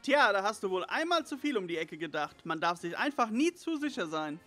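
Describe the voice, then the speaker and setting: A man's voice: sits high, very animated, a little fast, bright, forceful tone, soft background music, full character voice. A man playing a smug, know-it-all boy detective in a parody mystery audio play.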